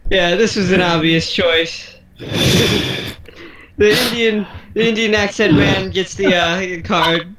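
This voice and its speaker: A man's voice: high-pitched, steady tone, slow pace, a bit bright, roomy sound, rough recording. A young man acting as judge in a party game, questioning the players with stammering restarts.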